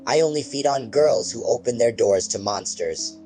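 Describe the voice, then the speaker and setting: A man's voice: mid-range voice, expressive, normal speed, warm tone, soft background music, close to an everyday voice, poor audio. A man voicing a slimy, drooling monster that pretends to be a mother, speaking in a mocking, sinister croon.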